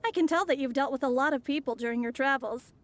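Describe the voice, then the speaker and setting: Actress as a mysterious foreign traveler: high, soft, courteous, measured. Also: female voice, close to an everyday voice. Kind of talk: video game voice acting.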